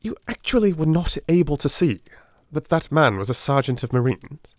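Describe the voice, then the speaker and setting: A man's voice: on the high side, sing-song, normal speed, dry recording, studio quality, pitched above the performer's own voice. A man playing a brilliant, brusque and self-assured detective in a dramatised audiobook, with a precise, lecturing manner. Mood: explaining, self-assured. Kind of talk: dramatised audiobook character acting.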